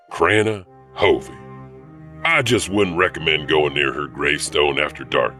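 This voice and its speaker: A man narrating scripted spooky tales as host, deliberate and dramatic in delivery. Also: low-pitched, bright, forceful tone, far from the mic.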